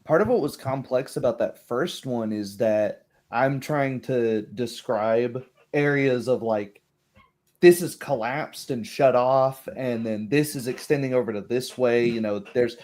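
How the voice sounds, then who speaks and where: A man's voice: mid-range voice, measured pace, a little mellow. A man in a casual chat, talking as the game master of a tabletop group.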